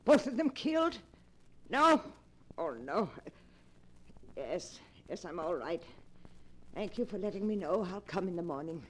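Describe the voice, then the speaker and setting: An actress playing a stubborn, superstitious and frightened old aunt in a tremulous 1940s radio drama style. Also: female voice, lowish voice, sing-song, unhurried, long pauses. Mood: shocked, grieving. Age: older. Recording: noisy 1940s radio recording.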